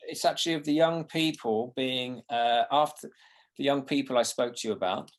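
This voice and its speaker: A man, answering questions after a lecture in a relaxed, unhurried way. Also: mid-range voice. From London. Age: 50s. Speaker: artist giving a lecture.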